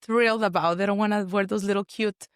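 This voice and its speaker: Dry-recorded woman from Mexico, mid-pitched. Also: steady tone, fairly quick, clean tone, no echo. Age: 30s. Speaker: podcast guest, small business owner. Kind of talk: casual conversational interview.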